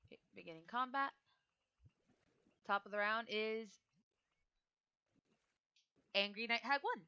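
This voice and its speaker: A woman's voice: on the high side, a little slow, pushed and bright, clear, resonant tone. A woman running a tabletop game as game master, casually switching between narration and rules talk in an informal way.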